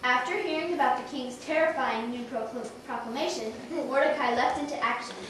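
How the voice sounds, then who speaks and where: A woman narrates a puppet show from a script. Her voice is high and comes from some distance in a very echoey, noisy room. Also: a little fast. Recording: echoey room, distant mic, clear noise.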